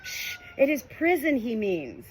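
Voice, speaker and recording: An eager, curious little monkey from a storybook, put on by a woman reader. The voice is lifted high and exclamatory, swinging freely in pitch. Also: huge pitch swings, unhurried, a little hiss or hum, heavily acted. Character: crowd of excitable, curious monkeys.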